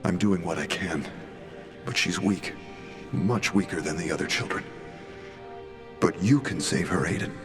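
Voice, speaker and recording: Very deep, grave doctor. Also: male voice, a little slow, long pauses, over music. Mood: soothing, insistent. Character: grave, measured male doctor, coldly persuasive. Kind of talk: video game flashback cutscene acting.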